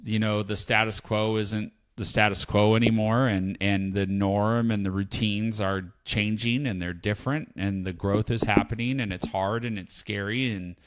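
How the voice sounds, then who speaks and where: A man in his fifties with a fairly low voice talks in a reflective, unhurried way. He rambles and restarts his sentences in casual podcast chat. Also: steady tone, clean tone. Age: 50s. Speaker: podcast co-host, parent.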